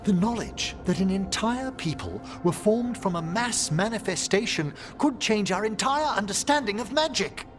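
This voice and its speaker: An actor playing a scholarly, fascinated mage in a fantasy video game, speaking formally and precisely. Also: male voice, sits high, animated, moderate pace, hardly any pauses, faint music behind. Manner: formal, articulate, erudite.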